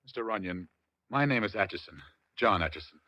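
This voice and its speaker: Anxious, stammering husband, lowish voice. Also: male voice, lively intonation, brisk, bright, forceful tone, dry recording. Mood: nervous, subdued. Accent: American. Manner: hesitant, stammering, earnest. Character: anxious, distraught, decent husband in his late thirties. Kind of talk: 1940s radio acting. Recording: muffled 1940s radio recording.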